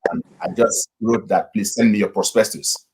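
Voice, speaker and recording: Middle-aged man from Nigeria, very low husky voice, relaxed storyteller on a video call. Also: rough recording. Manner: unhurried, with frequent fillers and restarts. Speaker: pastor and guest. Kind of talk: casual interview storytelling.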